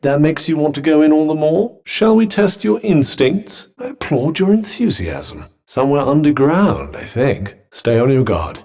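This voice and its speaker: An actor playing a haughty, well-spoken talking magic cuff in a video game, with a British accent and dry, sarcastic, formal delivery. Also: male voice, a little high, very animated, normal speed, few pauses, darkish tone. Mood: dry, curious. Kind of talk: scripted video game companion dialogue.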